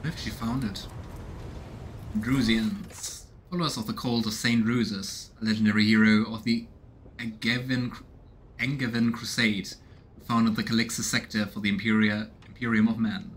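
A man's voice: fairly low pitch, fairly even intonation, moderate pace, a little hiss or hum, poor audio. A man in his thirties giving casual, curious game commentary and reading game text aloud, musing as he goes. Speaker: let's play streamer. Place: indoors, small room at home.

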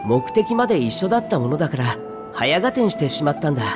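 A man's voice: on the high side, big pitch swings, fast, music in the background. A man voicing an easygoing, courteous young robed mage in a video game, breezy and friendly. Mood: friendly, apologetic. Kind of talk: Japanese video game voice acting.

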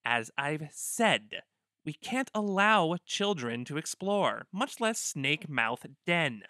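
A woman's voice: deep voice, sing-song, slow, bright, forceful tone, no room sound, clean studio sound, heavily acted. A woman voicing a strict, exasperated guild clerk in a fan dub of a video game. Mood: stern. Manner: exasperated, rule-bound.

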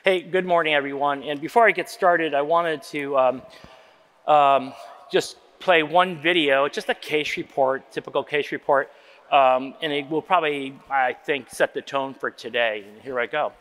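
A man's voice: a little high, moderate pace, fairly bright tone. A man in his sixties giving an informal, self-deprecating, rambling conference talk from an auditorium stage. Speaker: keynote lecturer. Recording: long hall reverb.

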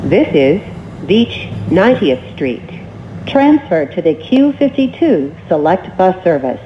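A woman reading scripted transit announcements as a train announcer, in short, measured, formal phrases. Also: on the low side, very animated. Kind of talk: scripted automated station announcements. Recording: muffled recording with train noise.

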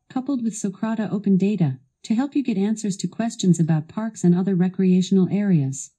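A woman's voice assistant delivering scripted product demo narration in a polished, instructional, clearly enunciated way. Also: medium pitch, mellow.

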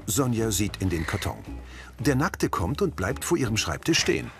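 A man's voice: on the low side, sing-song, moderate pace, gravelly, music in the background. A man reading scripted audio description, narrating the action of a scene in short sentences.

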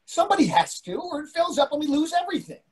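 A man's voice: extremely high pitch, very animated, fast talker. An older man playing an impatient, grumbling husband, blunt and exasperated, in a comedy play read over a video call. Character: impatient, grumbling husband craving beer and food. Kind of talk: readers theatre comedy acting. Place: indoor room on a video call. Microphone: video call microphone.

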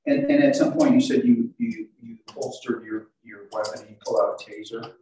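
An older man working as a defense attorney, questioning in court in a halting way and repeating his words. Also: medium pitch, warm tone, slight background noise. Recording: very echoey courtroom, distant microphone.

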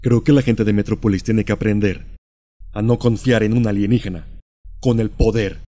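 Man acting a stern, mellow-voiced undercover hero. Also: fairly low pitch, fairly even intonation, moderate pace, a little hiss or hum, voice pushed down, lightly acted. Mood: formal. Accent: Latin American Spanish. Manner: serious, restrained, menacing. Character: stern, guarded male superhero in civilian disguise. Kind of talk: dramatic parody fandub.

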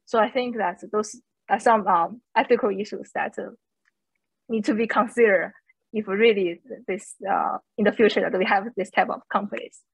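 A woman panelist in an academic discussion, speaking haltingly with non-native English phrasing. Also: mid-range voice, steady tone, vocal fry, husky, no echo, low-quality recording.

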